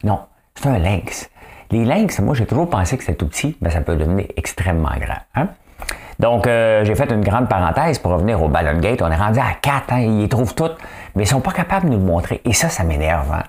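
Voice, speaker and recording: A man's voice: fairly low pitch, sing-song, fairly quick, darkish tone. A man with a Quebec French accent hosting his own show alone, chatting casually with joking asides and frequent tag questions. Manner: chatty, asks "hein?" often, joking asides. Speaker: solo online show host. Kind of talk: casual solo commentary show.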